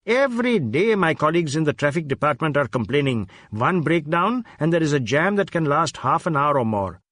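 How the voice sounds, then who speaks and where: A man's voice: fairly high pitch, very animated, fairly quick, a bit bright, no room sound, studio quality. A man voicing an earnest, talkative Indian man who complains matter-of-factly, as character dialogue in an audiobook. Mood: earnest complaining.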